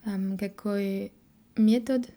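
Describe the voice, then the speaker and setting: Young french woman, mellow voice, kinda flat, keeps stopping to hunt for words and fix herself, thick french accent. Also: medium pitch. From France. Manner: halting, searching for words, many fillers and self-corrections. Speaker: vlogger. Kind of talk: casual monologue to camera.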